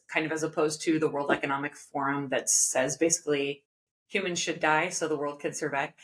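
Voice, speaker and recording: A woman in her thirties hosting a podcast over a video call from home, introducing her guest and asking friendly, earnest questions. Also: sits low. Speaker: podcast host. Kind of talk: interview hosting. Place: home room, indoors.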